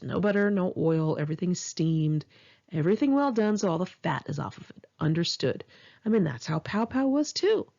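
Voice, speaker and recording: A woman's voice: lowish voice, darkish tone, highs cut off. An American woman reads her own memoir aloud in an informal audiobook style, with a candid, wry, gossipy way of telling stories. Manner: candid, wry, gossipy storytelling with blunt swearing. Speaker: author reading own memoir. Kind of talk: scripted narration, informal audiobook reading.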